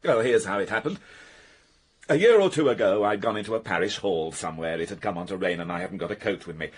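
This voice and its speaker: Husky, wounded British bachelor painter. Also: male voice, on the low side, animated, fast talker. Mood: rueful. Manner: theatrical, wounded, sardonic. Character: defensive, self-pitying bachelor painter under questioning. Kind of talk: British radio mystery drama acting.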